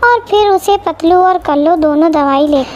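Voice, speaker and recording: A woman's voice: very high-pitched, normal speed, darkish tone, slight background noise. A woman narrating a scripted story for children.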